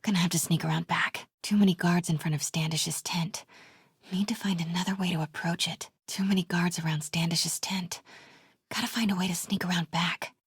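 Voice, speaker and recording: A woman playing a determined, brave young reporter in a video game, breathy and often hushed. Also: lowish voice, steady tone, moderate pace, dry recording, studio quality. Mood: hushed, tense. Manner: hushed, often whispering to herself.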